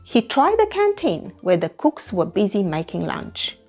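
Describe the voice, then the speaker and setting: A woman narrates a children's picture book. Her delivery is dramatic and unhurried, with animated rises and falls in pitch, over a music bed. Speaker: storyteller reading a picture book aloud. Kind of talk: animated read-aloud narration for young children. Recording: music behind the voice.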